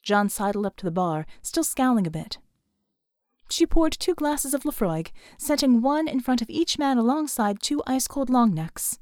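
A woman's voice: on the high side, animated, moderate pace, a little mellow, no echo, studio quality. A woman reading scripted fiction as an audiobook narrator.

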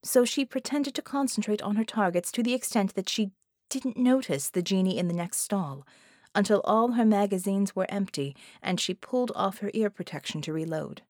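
A woman's voice: medium pitch, normal speed, darkish tone, dry recording, clean studio sound. A woman reading scripted fiction as an audiobook narrator.

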